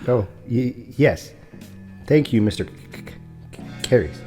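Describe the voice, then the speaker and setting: An actor playing a meek, courteous, big property broker who stammers on his consonants and speaks in a formal, halting way in an audio drama. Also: mid-range voice, lively intonation, dark tone, soft background music, lightly acted. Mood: obliging. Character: large muscular male property broker, meek, anxious, courteous.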